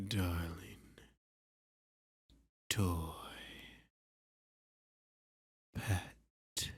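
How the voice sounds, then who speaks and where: A man playing a dominant, flirty villain who taunts a hostage in an intimate ASMR roleplay, often dropping to a breathy, teasing whisper. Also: sits low, dry recording, full character voice. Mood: smug, flirty. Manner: slow, teasing, sadistic, possessive.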